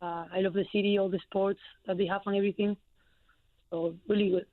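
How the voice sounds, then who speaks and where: A woman's voice: mid-range voice, flat delivery, brisk, no echo. Spanish-accented young woman on a tinny phone line. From Spain. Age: young adult. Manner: hesitant, many fillers. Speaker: college basketball player, guest. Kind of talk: phone-in radio interview.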